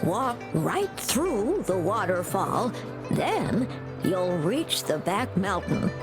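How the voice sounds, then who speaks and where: A woman's voice: medium pitch, sing-song, rough recording. A woman playing a kindly, modest old grandmother florist in a game, speaking fondly and without hurry. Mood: fond, helpful. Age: older. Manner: affectionate, unhurried storytelling. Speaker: flower shop keeper. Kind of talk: scripted game dialogue. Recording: music behind the voice.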